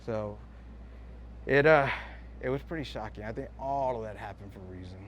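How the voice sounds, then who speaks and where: A man's voice: lowish voice, normal speed. A man, a fire lieutenant, recounting an experience in an interview.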